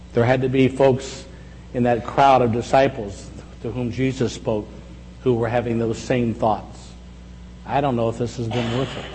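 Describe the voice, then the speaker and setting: A man in his sixties preaching a reflective, conversational sermon, slowing down to stress key words. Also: mid-range voice, a little mellow. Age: 60s. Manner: reflective, conversational, with slow emphatic pauses. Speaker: pastor. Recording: echoey hall with faint hum.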